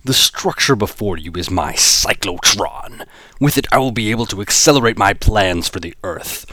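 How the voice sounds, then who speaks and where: A man's voice: lowish voice, lively intonation, moderate pace, pushed and bright, no echo. A man voicing a boastful, menacing alien villain with a grand manner. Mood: gloating.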